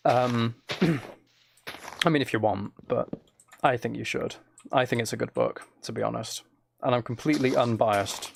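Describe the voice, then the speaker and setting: A man's voice: medium pitch, fairly even intonation, normal speed, vocal fry, pauses often, background noise. A man chatting casually and teasingly to his viewers while playing a game on a livestream.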